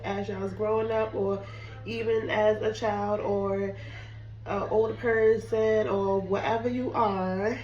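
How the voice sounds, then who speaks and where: A woman's voice: medium pitch, flat delivery, takes it slowly, a little mellow, highs cut off, faint music behind. A young woman who is sick and losing her voice chats casually to viewers while cooking at home. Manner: chatty, apologetic, addresses viewers as "guys". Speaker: cooking vlogger. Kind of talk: casual chat to camera. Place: home kitchen. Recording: roomy echo, mic at a distance.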